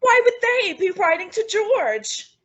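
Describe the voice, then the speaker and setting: A woman playing a dramatic, scheming housewife in an old-time radio sitcom, with exaggerated, drawn-out comic emphasis. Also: extremely high pitch, very animated, fairly bright tone, exaggerated voice. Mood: nosy, curious. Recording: dull lo-fi old radio recording.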